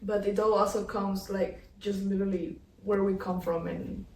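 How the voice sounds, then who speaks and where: A woman's voice: medium pitch, flat delivery, moderate pace, a little mellow. A Colombian woman in her twenties, co-hosting a casual podcast chat, laughing often and filling her talk with "like". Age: 20s. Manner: giggly, lots of "like" fillers. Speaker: podcast co-host. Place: indoor room in the Netherlands. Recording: echoey room, mic at a distance.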